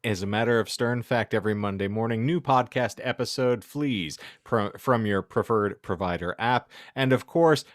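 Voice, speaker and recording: A man's voice: fairly low pitch, moderate pace, hardly any pauses, no room sound, studio quality. A man hosting a podcast, delivering casual show patter with playful, mock-formal wording. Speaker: podcast host. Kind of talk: casual, scripted-sounding show sign-off patter.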